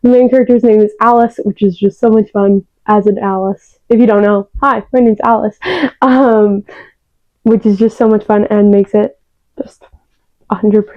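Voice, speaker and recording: A woman's voice: mid-range voice, steady tone, normal speed, no echo, phone-quality sound. A young woman reviewing books in a casual, gushing vlog, often repeating words for emphasis, talking into a new microphone. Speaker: book vlogger. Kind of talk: casual chatty book review. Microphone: new external microphone.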